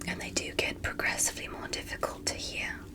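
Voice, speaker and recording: A woman playing a polite, gentle alien doctor in an ASMR medical roleplay, speaking in a careful, breathy whisper. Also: lightly acted. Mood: hushed, clinical, curious. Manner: slow, careful, softly reassuring.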